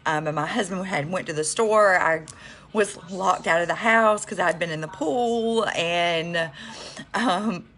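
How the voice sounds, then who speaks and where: A woman's voice: lowish voice, measured pace, a bit bright. A woman chatting on a makeup livestream, stuffy from a cold, with lots of ums as she talks to viewers. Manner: chatty, many ums, addresses viewers as y'all. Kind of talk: casual get-ready-with-me livestream.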